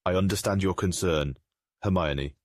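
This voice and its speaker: Man acting as grave noble wizard father, deep voice, formal, measured, remorseful, dry close recording. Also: darkish tone, no echo. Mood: solemn, remorseful. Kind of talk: comic dub voice acting.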